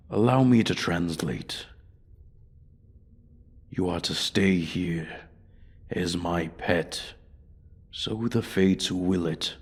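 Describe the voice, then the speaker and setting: Ancient male dragon, played by a man, fantasy audio roleplay: very deep, unhurried, condescending, bored menace, formal speech. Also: vocal fry, husky, exaggerated voice. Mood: smug, possessive.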